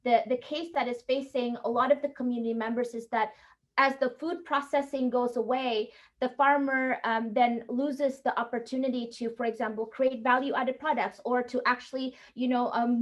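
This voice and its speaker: A woman, answering audience questions in a webinar in an earnest, halting way, with many fillers and restarts. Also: on the high side, fairly even intonation, poor audio. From Indonesia. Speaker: guest speaker.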